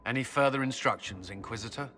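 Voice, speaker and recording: A man's voice: mid-range voice, fast talker, sharp tone, big-room sound, a little hiss or hum, lightly acted. A man playing an earnest, resolute military commander in a fantasy video game, speaking in a formal, purposeful way. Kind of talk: video game cutscene acting.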